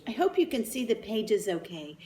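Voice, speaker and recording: A woman's voice: medium pitch, sing-song, moderate pace, warm tone, a little hiss or hum. A woman reading a picture book aloud to children expressively, with chatty asides to young listeners. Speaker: children's story reader, teacher.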